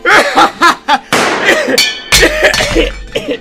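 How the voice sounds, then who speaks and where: A woman's voice: very high voice, big pitch swings, very slow, creaky, loud music behind the voice, full character voice. A woman playing a deranged, predatory mutant villainess in an audio drama, taunting and gleeful in a theatrical way. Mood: gleeful menace. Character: deranged, predatory female splicer, sadistic and mocking.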